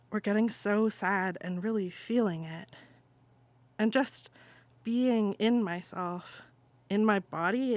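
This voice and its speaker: A woman plays a wistful adult in a theatre piece, confiding informally in a relative. The delivery is introspective, mid-pitched and recorded close and dry. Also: no echo, lightly acted. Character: wistful, disconnected queer adult confiding in a cousin.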